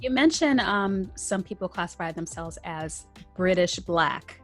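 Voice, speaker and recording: A woman's voice: on the low side, expressive, measured pace, a bit bright, faint music behind. A woman hosting a podcast, asking loose, searching questions in a casual chat. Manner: searching questions with restarts and fillers. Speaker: podcast host.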